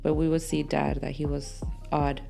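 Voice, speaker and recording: A woman's voice: deep voice, flat delivery, normal speed, gravelly, over music. A woman being interviewed as a guest, talking candidly about her life and switching between English and Spanish. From Los Angeles, United States. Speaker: podcast guest. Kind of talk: candid conversational interview, telling life story.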